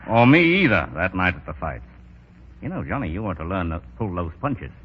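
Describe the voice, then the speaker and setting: Easygoing police chief, deep husky voice, muffled old radio sound. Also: male voice, sing-song, moderate pace, heavily acted. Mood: wry, friendly. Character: good-humored, calm police commissioner. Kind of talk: 1930s radio acting. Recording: muffled 1930s radio recording.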